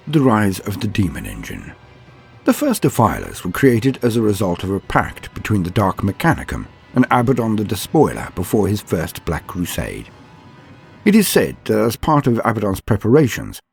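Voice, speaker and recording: A man reading scripted dark fantasy lore as a narrator, in a grave, dramatic and measured way. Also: on the low side, breathy edge.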